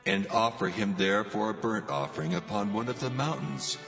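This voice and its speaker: A man's voice: lowish voice, animated, normal speed, vocal fry. A man playing a solemn, commanding deity in a dramatised scripture reading, with long reverb. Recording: long cavernous reverb over faint music.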